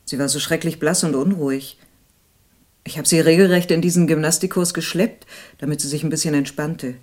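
A woman's voice: deep voice, steady tone, brisk, a little mellow. An actress playing a woman who earnestly explains her memories of a friend in a radio drama. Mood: concerned. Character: woman acquaintance earnestly recalling a worried friend. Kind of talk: German radio drama testimony.

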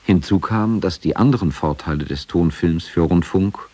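Male documentary narrator, very low voice, scripted radio feature delivery. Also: normal speed, warm tone.

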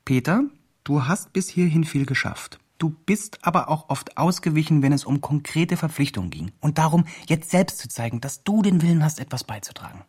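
An actor playing a well-meaning, self-justifying helper who gives careful, reflective reports in a radio play monologue. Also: male voice, a little high, animated, moderate pace, darkish tone, husky. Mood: doubtful.

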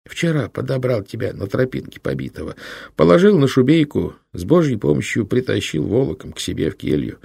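A man voicing a gentle, talkative old male monk who lives in the forest, speaking softly and kindly. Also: medium pitch, big pitch swings, brisk, gravelly, breathy edge, no room sound, pitched above the performer's own voice, full character voice. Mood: soothing. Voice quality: soft, kindly, soothing.

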